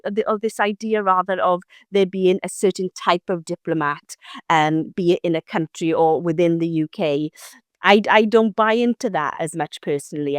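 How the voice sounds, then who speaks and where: Welsh woman with a low, dry voice. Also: dry recording. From South Wales. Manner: reflective, many fillers and restarts. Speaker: guest, former diplomat. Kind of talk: podcast interview.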